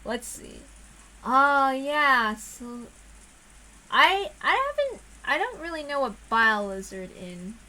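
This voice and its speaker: A woman's voice: high-pitched, big pitch swings, takes it slowly, poor audio. A woman narrating a visual novel aloud in a dramatic storytelling style. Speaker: narrator and reader. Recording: loud music under the voice.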